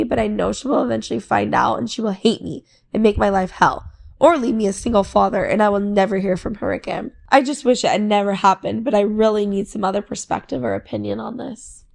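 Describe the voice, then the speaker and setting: A woman's voice: mid-range voice, fast talker, creaky. A woman co-hosting a casual podcast, reading a long online post aloud in a playful, easygoing way. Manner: playful, reads posts aloud at a steady clip. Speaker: podcast co-host.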